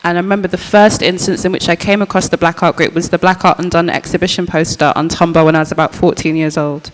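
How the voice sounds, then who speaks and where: Quiet-voiced young woman. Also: lowish voice, level tone, fast. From Birmingham, England. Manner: reflective, searching, with fillers and restarts. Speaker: panel speaker, curator and archivist. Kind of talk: public panel discussion. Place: large public hall. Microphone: panel microphone. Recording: reverberant hall.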